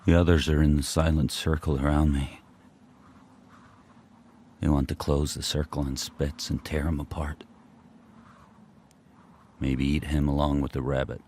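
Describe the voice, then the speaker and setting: A man's voice: rumbling low, little pitch movement, a little mellow, a little hiss or hum. An actor playing a proud, fierce sled dog who tells his own hard life in solemn, intense radio drama monologue. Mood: grim, defiant. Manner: solemn, deliberate, intense, short poetic phrases.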